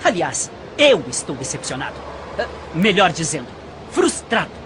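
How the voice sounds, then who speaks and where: A big, brazen young Brazilian charmer in an audio drama. His pitch swings widely as he talks down to people with smug, domineering assurance. There is some background noise. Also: big pitch swings, moderate pace. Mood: scornful, smug. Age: young adult.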